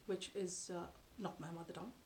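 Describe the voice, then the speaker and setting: A woman in her thirties whose first language is Tamil, talking to camera from a sofa in her living room in a measured, thoughtful way with frequent pauses. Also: lowish voice, steady tone, a little mellow, slight background noise. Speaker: vlogger. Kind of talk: explanatory talk to camera. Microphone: camera in front at close range.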